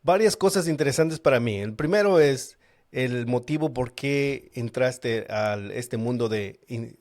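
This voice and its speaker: A male podcast host with a medium-pitched voice. He puts questions to his guest in a casual way, pausing and searching for words as he goes. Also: a little mellow.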